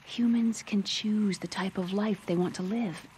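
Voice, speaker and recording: Slow, solemn, gentle woman scientist , acted. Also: medium pitch, steady tone, slight background noise, natural voice. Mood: solemn, tender. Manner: solemn, tender, deliberate. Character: earnest, gentle female scientist urging a soldier to live. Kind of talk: dramatic video game voice acting.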